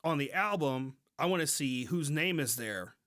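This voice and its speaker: A man co-hosting a podcast, talking casually and slangily with halting, repeated restarts. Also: on the high side, expressive, a bit bright, dry recording, studio quality. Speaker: podcast co-host. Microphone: close mic on a remote recording call.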